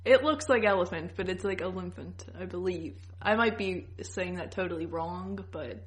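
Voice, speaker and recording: A woman chats as a podcast co-host in a slightly low voice. Her delivery is informal and slangy. She phrases opinions tentatively and conversationally. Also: moderate pace. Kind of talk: casual chat.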